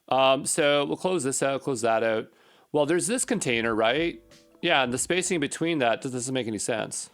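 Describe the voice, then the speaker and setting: A man's voice: mid-range voice, fairly bright tone. Casual man thinking aloud while coding. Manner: informal, muttering to himself while troubleshooting. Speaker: programmer recording a coding session.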